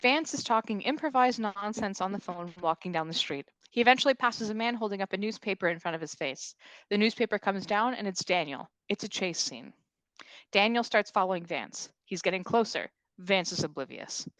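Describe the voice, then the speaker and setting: A woman's voice: medium pitch, expressive, fairly quick, fairly bright tone, dry recording, rough recording. A woman reading stage directions at a comedy table read, in an informal, playful way.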